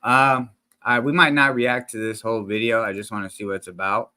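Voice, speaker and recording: A man's voice: on the low side, fairly even intonation, fairly quick, bright, forceful tone. A man hosting a casual reaction video. He talks to the viewers in slangy, rambling speech with many restarts and fillers, into a desk microphone that sometimes peaks. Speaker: reaction channel host. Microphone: desk microphone, sometimes peaking.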